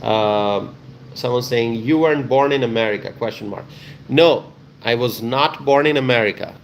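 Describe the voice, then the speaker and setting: A man's voice: medium pitch, normal speed, creaky, highs cut off, slight background noise. A man from Bangladesh hosting a casual livestream, reading out viewer comments and answering them, often repeating phrases for emphasis. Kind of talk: casual live chat with viewers.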